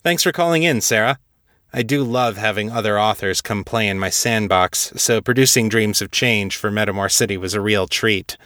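A man's voice: fairly low pitch, normal speed, pushed and bright. A man narrating and presenting as a podcast host in a scripted, read-aloud style.

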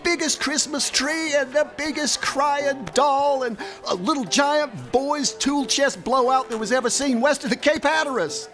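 An actor playing an excitable, folksy frontier mail rider spinning colorful gossip in a radio drama. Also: male voice, very high-pitched. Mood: gleeful, gossipy. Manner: folksy, colorful drawn-out storytelling. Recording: faint music behind.

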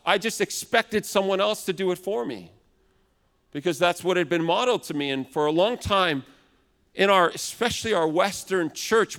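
A man in his thirties preaching a sermon in a loud, big voice, now and then acting out complaining customers. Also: high voice. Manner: acts out complaining customers in raised voice. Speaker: pastor. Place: large church hall. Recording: long hall reverb.

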